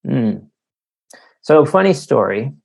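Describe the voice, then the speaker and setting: A man in his forties giving casual, halting, self-deprecating answers as an author being interviewed. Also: mid-range voice, very animated, gravelly, a little mellow, rough recording. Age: 40s. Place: remote call.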